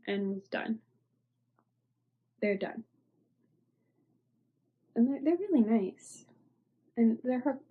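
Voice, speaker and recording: A congested young woman talks through her knitting projects in a loose, rambling way, with lots of "like" and "um". Her voice sits a little high but sounds mellow and dark. It is husky, and she keeps trailing off into near-whispers. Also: lo-fi sound. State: stuffy, congested. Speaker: knitting podcast host. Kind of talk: casual show-and-tell chat.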